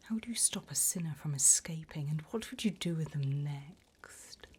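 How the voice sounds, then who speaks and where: A woman voicing a polite, devilish female demon job interviewer in a whispered ASMR roleplay, with a breathy, mock-formal delivery. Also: low-pitched, lively intonation, a little mellow, heavily acted. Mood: sly, businesslike. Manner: slow, deliberate, mock-formal.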